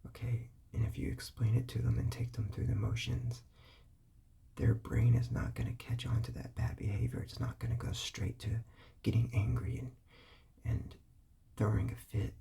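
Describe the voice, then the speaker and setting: A man whispering calm, patient parenting advice, repeating his points as he explains. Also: on the low side, normal speed. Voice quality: whispery, breathy, gravelly.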